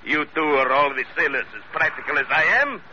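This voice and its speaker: Suave, sharp-voiced smug pirate salvage boss. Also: male voice, on the high side, steady tone, fast talker. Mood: smug, flattering. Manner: suave, ingratiating, wordy and formal. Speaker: radio drama actor. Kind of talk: old-time radio adventure acting. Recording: dull, noisy vintage radio recording.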